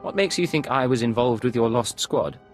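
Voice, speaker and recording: A man's voice: medium pitch, animated, normal speed, faint music behind, natural voice. A man playing a self-assured secret agent posing as nobility in a video game, clipped, controlled and suave. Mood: cool, curt. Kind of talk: scripted video game drama acting.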